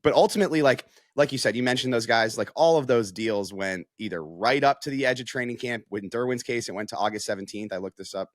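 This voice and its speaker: A man's voice: medium pitch, quick pace, hardly any pauses, no room sound, studio quality. An American man giving casual, conversational sports analysis as a reporter, with frequent filler phrases like "you know".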